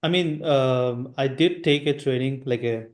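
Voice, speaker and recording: A man's voice: mid-range voice, a little slow, darkish tone. A man who runs a roofing agency, talking through his business on a casual call, hesitant with many fillers and restarts.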